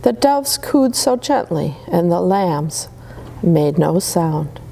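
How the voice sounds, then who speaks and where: A woman reading Christmas stories aloud to children in a gentle, soothing way. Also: fairly low pitch, big pitch swings, takes it slowly, gravelly. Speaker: storyteller.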